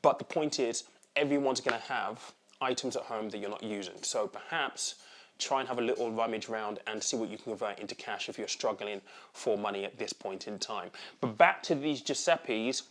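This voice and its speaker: A man, a channel host, chatting to camera and repeating words for emphasis. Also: medium pitch. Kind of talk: casual talk to camera.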